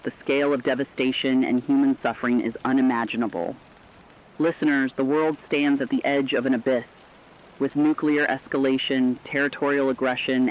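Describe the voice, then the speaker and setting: Guy playing a somber war radio correspondent, mid-pitched, formal and dramatic, dull lo-fi broadcast. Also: close to an everyday voice. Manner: solemn, formal, dramatic delivery. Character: somber male radio correspondent reporting global catastrophe. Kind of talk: scripted emergency news broadcast. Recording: dull lo-fi radio sound with rain.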